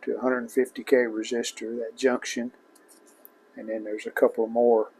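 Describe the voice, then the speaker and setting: A man with a creaky, gravelly voice at a medium pitch walks through a hands-on repair job. He talks casually and without hurry, in short plainspoken phrases as he works. A faint noise sits behind his voice. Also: level tone, a little mellow, rough recording. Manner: unhurried, plainspoken, talking in short phrases while working. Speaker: host of a vintage electronics repair channel.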